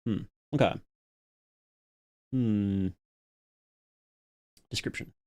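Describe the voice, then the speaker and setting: A man's voice: on the low side, very slow, darkish tone. Casual man reacting on a livestream. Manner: muses aloud, reads viewer comments. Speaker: livestream host reacting to a horror series.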